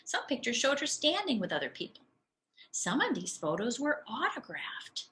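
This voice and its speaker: Female preschool teacher, storybook read-aloud, expressive and deliberate phrasing, bright clear-cut tone. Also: high-pitched, slight background noise.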